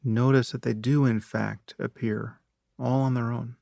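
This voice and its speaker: Slow, gravelly man guiding meditation. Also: medium pitch, flat delivery, warm tone, no room sound. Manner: measured, unhurried, with long pauses. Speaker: meditation guide. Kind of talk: guided meditation, calm instruction.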